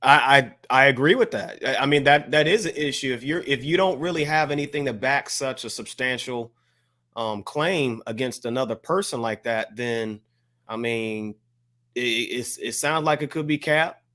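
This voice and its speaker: An American man co-hosting a casual podcast discussion, measured and hesitant, carefully qualifying what he says. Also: medium pitch, bright, forceful tone. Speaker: podcast co-host.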